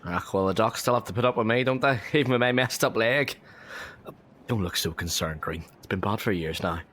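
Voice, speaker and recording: A man performs a young working man of Victorian London for a historical audio drama. His voice sits low, and he chats in a cheeky, jovial, bantering way. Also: brisk, fairly bright tone, a little hiss or hum, natural voice. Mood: jovial, wry.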